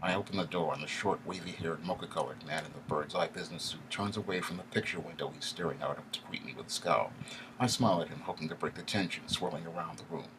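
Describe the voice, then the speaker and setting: A man reading a first-person story aloud in a steady, wry storytelling manner. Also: a bass voice, quick pace, background noise. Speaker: audiobook narrator. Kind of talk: first-person audiobook narration.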